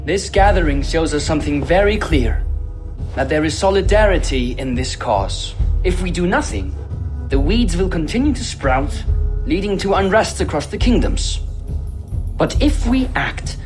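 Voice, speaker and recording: An actress playing a resolute, severe noble in a video game, arguing in formal, rhetorical speech. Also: female voice, low voice, very animated, moderate pace, darkish tone. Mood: firm, challenging. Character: resolute noble supporter of inquisition, severe, persuasive. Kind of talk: dramatic video game cutscene acting. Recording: music behind the voice.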